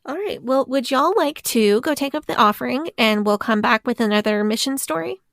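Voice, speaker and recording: A woman's voice: on the high side, animated, brisk, gravelly, a bit bright, dry recording. A woman hosting a podcast, chatting earnestly and reading a listener story aloud on a close mic. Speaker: podcast host. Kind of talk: casual podcast chat.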